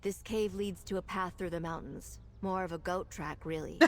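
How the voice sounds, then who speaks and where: A woman's voice: on the low side, fairly even intonation, normal speed, lightly acted. A woman voicing a dry, practical mage companion. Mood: matter-of-fact. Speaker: game character. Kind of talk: video game dialogue.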